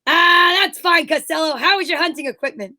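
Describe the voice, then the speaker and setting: Woman as Abbott, high-voiced exasperated straight man. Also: brisk, sharp tone, heavily acted. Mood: bossy, brisk. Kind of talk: 1940s radio comedy acting.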